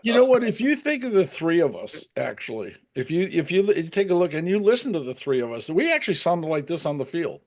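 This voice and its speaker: A man's voice: fairly high pitch, big pitch swings, fairly quick, few pauses. A middle-aged man, a retired umpire, chatting casually and telling stories with frequent restarts. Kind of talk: casual chat.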